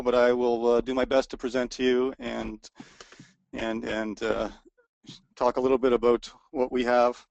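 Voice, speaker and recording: A man with a gravelly, mid-pitched voice talks through a webinar presentation, stumbling over restarts and fillers as his voice wears thin. The sound is dry and close, picked up by a computer microphone with no room around it. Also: darkish tone, no room sound, muffled recording. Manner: hesitant, many restarts and fillers, self-deprecating. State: voice wearing out. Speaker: resort co-owner presenting a webinar. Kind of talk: informal sales presentation.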